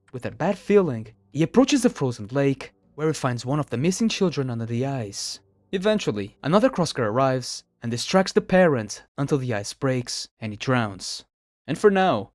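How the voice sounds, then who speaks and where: A man's voice: fairly high pitch, a little mellow, no room sound. A man reading scripted narration, retelling stories as the narrator of a video. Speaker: narrator of a horror video countdown. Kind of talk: scripted narration, storytelling recap.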